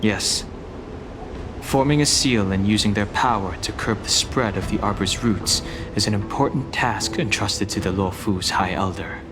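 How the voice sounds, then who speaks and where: A man voicing a reserved, earnest young dragon warrior in a video game, speaking in a formal, measured and serious manner. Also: fairly low pitch, noisy background, close to an everyday voice. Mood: solemn, explanatory.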